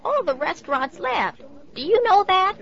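An actress playing a chatty elderly widow in a nursing home who keeps asking if you knew. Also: female voice, extremely high pitch, big pitch swings, normal speed, gravelly, fairly bright tone, heavily acted. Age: older. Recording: dull lo-fi recording.

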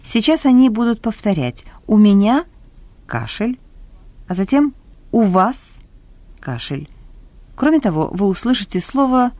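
A woman calmly narrating a language lesson, a native Russian speaker. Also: mid-range voice, moderate pace, pauses often, dry recording. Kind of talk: scripted narration.

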